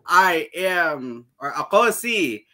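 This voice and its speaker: Playful bright-voiced podcast host. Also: male voice, a little high, big pitch swings, slow. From Canada. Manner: playful, theatrical, cheeky intros. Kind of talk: casual podcast chat.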